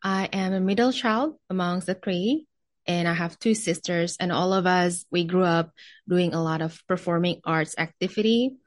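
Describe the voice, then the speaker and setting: Young female podcast guest, Indonesian accent, lowish voice, casual conversational delivery, dry close sound. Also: moderate pace, no room sound. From Jakarta, Indonesia. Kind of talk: casual interview chat, telling personal background.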